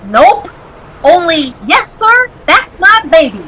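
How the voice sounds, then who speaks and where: Woman as a very high-pitched brash street boy. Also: big pitch swings, takes it slowly, bright, forceful tone, exaggerated voice, rough recording. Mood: matter-of-fact, cheeky. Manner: slangy, exclaiming kid talk. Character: brash, eager young street boy, money-minded sidekick. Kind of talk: old-time radio drama acting. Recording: noticeable background noise.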